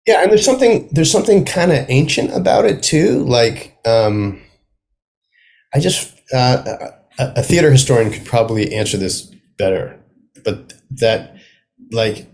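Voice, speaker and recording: A man talking casually as a playwright being interviewed, thinking aloud in a halting way. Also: on the low side, a little mellow. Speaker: playwright guest.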